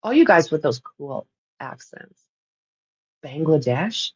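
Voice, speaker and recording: A woman's voice: low voice, big pitch swings, takes it slowly, darkish tone, slightly rough, no echo, lo-fi sound. A woman with a Canadian accent hosting a livestream, chatting casually with viewers in a rambling way and laughing often. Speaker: livestream host. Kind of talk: casual chat with live viewers.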